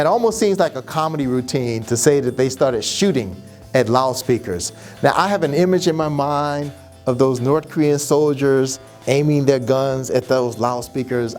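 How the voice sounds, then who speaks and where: A man's voice: medium pitch, a little mellow. A man hosting a podcast, delivering scripted opinion commentary in an emphatic way, with repetition and rhetorical questions. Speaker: podcast host.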